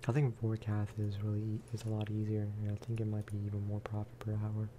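A man speaking softly in a low-key, rambling ASMR style as he chats over gameplay. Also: monotone, fast talker, background noise. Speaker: gaming video creator. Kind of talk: soft-spoken ASMR gameplay chat.

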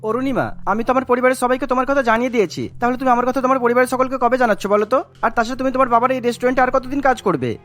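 Woman voicing an earnest, very fast-talking young man. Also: fairly high pitch, fairly even intonation, soft background music, close to an everyday voice. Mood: coaxing. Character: earnest, accommodating young man of a middle-class family.

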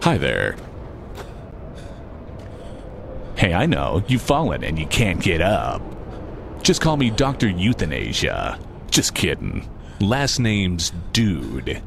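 An actor playing a cynical, sociopathic slacker antihero in a video game, with sarcastic, deadpan wisecracks in a gravelly voice. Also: male voice, slow pace, background noise, full character voice. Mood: deadpan menace. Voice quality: gravelly, low. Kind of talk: video game one-liners.

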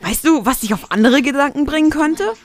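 A woman's voice: sits high, expressive, brisk. A woman voicing a young, sassy, whiny pony princess with a theatrical, teasing manner in a fan audio drama. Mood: sassy, incredulous. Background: background music.

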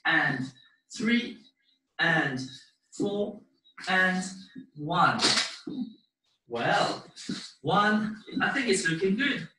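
A man with a high, bright voice leads a dance class step by step. He takes his time, encouraging people as he goes. The sound is roomy and distant, as from a microphone across a living room. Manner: encouraging, hesitant between instructions. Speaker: dance teacher. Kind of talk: step-by-step live dance instruction with counting. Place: living room at home, indoors. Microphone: laptop or webcam mic across the room.

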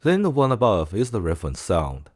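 A man presenting a software tutorial in a casual way. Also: lowish voice, wildly swooping pitch, fairly quick, no room sound, clean studio sound.

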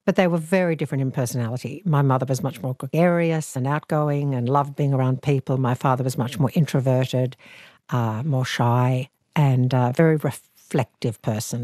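A woman in her sixties with an Australian accent, an actor recalling her family history in a measured, reflective way. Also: a bass voice, steady tone, no echo, clean studio sound. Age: 60s. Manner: thoughtful, measured, gentle. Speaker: actor and author guest. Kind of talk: reflective interview storytelling. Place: living room, seated on a sofa.

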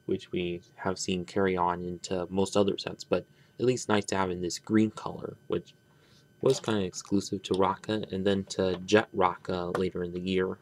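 Low-pitched, creaky man reviewing toys. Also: little pitch movement, slight background noise. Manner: halting, rambling, trailing off. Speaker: toy reviewer. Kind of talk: unscripted hands-on product review. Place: indoors at a tabletop.

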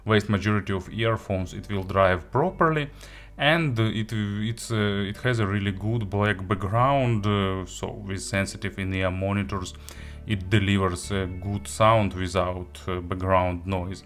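A man who is a non-native English speaker, giving an unscripted product review in a halting, hesitant way with frequent pauses. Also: sits low, fairly even intonation, fairly bright tone, faint music behind. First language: not English. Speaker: audio gear reviewer.

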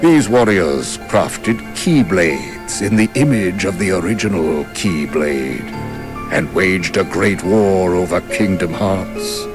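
Very deep, slow old wizard master. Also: male voice, lively intonation, over music, full character voice. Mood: solemn storytelling. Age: older. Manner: grave, formal, deliberate. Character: stern, solemn old wizard master instructing apprentices. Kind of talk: video game cutscene acting, expository.

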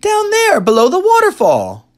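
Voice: very high-pitched, wildly swooping pitch, moderate pace, pitched above the performer's own voice, heavily acted. A narrator voicing a spoiled little girl in a storybook, shrieking frantically. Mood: frantic, excited.

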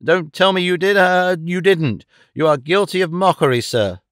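Man acting a pompous, blustering gentleman father, raised pitch. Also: clear, resonant tone, no room sound, clean studio sound, lightly acted. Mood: furious, blustering. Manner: halting, hemming and hawing, grandiose. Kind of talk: audiobook character acting of a Victorian novel.

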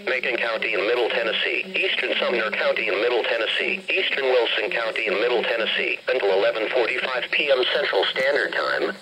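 An automated male announcer with a mid-range synthetic voice delivers formal emergency weather bulletins in a measured way. The voice comes out of a weather radio speaker and is picked up by a handheld camera. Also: mid-range voice, bright, forceful tone, low-quality recording. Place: carpeted room in a home. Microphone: camera held near the radio.

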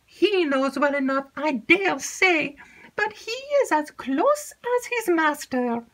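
A woman voicing an excitable, easily frightened young maid who rambles and pleads in a breathless, tearful way. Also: high voice, slow, voice pushed up, heavily acted. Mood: chattering.

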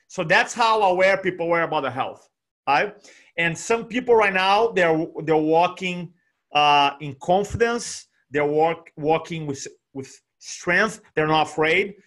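A man in his forties with a Brazilian Portuguese accent, speaking on a video call in an energetic, animated way and repeating phrases for emphasis. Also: sits high, measured pace. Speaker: guest speaker. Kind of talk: energetic motivational talk. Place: small room at home. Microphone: desk microphone on video call.

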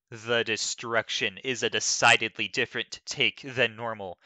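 A man reads written text aloud as if on stage, in a slightly low voice with a very bright, crisp edge. His delivery is slow, measured and dramatic, and the recording is dry and close. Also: dry recording. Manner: theatrical, deliberate, measured delivery. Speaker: dramatic reader of an online comment. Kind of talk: dramatic scripted reading.

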